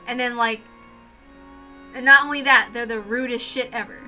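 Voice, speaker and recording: Female reading-series host, high and sharp-edged, informal and emphatic, over music. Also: steady tone, normal speed. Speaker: reader and host of a read-aloud series. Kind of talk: casual chat and dramatic reading of a visual novel.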